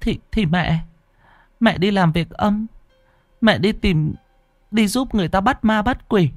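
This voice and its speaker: A man's voice: a little slow, no echo, clean studio sound, faint music behind. A man putting on a desperate, stammering mother. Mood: pleading. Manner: pleading, stammering, high and shrill. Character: grieving middle-aged woman medium, desperate mother.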